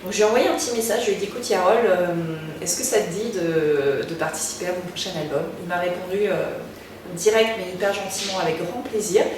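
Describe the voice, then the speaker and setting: French-speaking woman with a low voice in a very echoey room. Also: a little mellow. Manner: halting, many fillers and restarts. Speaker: singer being interviewed. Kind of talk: casual interview answers. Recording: very echoey room, distant mic, noticeable background noise.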